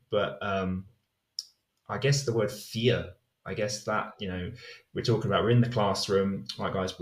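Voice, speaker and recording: A man's voice: fairly low pitch, reverberant. A man, an English teacher, talking casually and reflectively in a podcast interview, with plenty of fillers and restarts. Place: lives in Spain.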